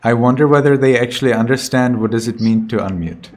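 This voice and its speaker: A man teaching a children's class over a video call, slowly calling names and giving patient step-by-step instructions. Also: medium pitch. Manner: slow, deliberate, patient instructions.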